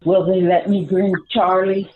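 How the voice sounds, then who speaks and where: A woman's voice: medium pitch, little pitch movement, measured pace, crowd noise, lightly acted. A woman playing a worried, indignant homeless citizen in an amateur radio play, earnest and incredulous. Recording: phone-like muffled sound with faint noise.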